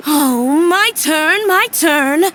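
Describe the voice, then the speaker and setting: An eager, insistent townswoman from a fantasy game. Her voice is high and her pace slow. There is faint background noise. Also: animated, fairly bright tone, exaggerated voice. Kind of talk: video game character dialogue.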